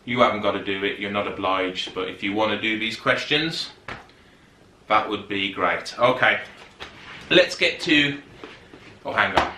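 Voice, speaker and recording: A man's voice: fairly low pitch, unhurried, long pauses, bright, forceful tone, echoey room, far from the mic, slight background noise. A man, chatting casually to camera from his settee and often rambling off topic as a fitness vlogger. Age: 30s. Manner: chatty, rambling, goes off on tangents. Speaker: fitness YouTuber. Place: sitting on a settee at home.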